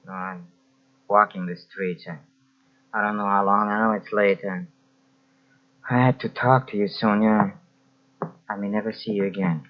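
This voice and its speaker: An actor playing a tormented, guilt-ridden poor writer under suspicion, agitated and halting, in old-time radio drama style. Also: male voice, fairly low pitch, fairly even intonation, lightly acted. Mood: anguished, haunted. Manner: agitated, halting, evasive, by turns sarcastic. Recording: dull lo-fi old radio cassette transfer.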